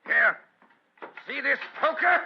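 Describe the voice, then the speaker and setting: High gravelly english villain doctor, blustering bully, old radio play. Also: male voice, lively intonation, takes it slowly, background noise, exaggerated voice. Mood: furious, bullying. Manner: blustering, domineering, theatrical. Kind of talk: vintage radio drama acting. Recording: dull, lo-fi radio recording.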